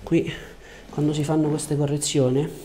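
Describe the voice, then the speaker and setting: A man in his thirties speaking as a chiropractor, giving short, gentle, reassuring instructions to a patient in a calm clinical way. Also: fairly high pitch, moderate pace. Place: indoor chiropractic office. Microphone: lapel mic. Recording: faint background room noise.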